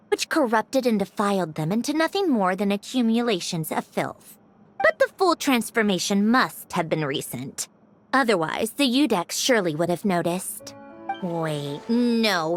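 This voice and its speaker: A woman's voice: mid-range voice, fairly bright tone. A woman playing a self-assured, aloof young female schemer in a video game, articulate and haughty. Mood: pondering.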